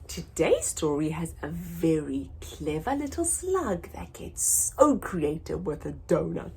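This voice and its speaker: A woman hosting a children's story time, reading a picture book aloud in an expressive, sing-song way for small children. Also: low voice, measured pace, gravelly, breathy edge.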